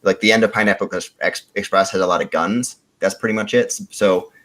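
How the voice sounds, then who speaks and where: Deep-voiced man in casual debate. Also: fairly even intonation, brisk, fairly bright tone. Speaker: podcast contestant pitching movie ideas.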